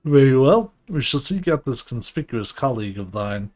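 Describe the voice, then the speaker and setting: Casting: a man playing a polite, scholarly fantasy game character who speaks in archaic, ornate, measured sentences. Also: medium pitch, very animated, a little mellow, lightly acted. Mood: courteous, solemn. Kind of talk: game dialogue read aloud in character.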